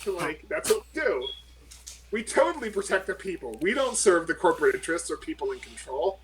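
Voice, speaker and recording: A man's voice: on the high side, big pitch swings, brisk, a little hiss or hum. A man bantering casually as a tabletop role-player, sardonic and sweary. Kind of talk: casual in-character game banter.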